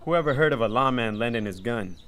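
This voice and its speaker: A man's voice: mid-range voice, animated, brisk, fairly bright tone, a little hiss or hum, close to an everyday voice. A man playing a hot-tempered, bullying troublemaker in a western radio drama. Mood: scornful, angry. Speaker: actor in a radio theater.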